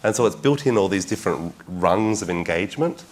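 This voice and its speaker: A man's voice: deep voice, animated, fairly quick. A man speaking on a discussion panel, thoughtful, often repeating words as he builds a point.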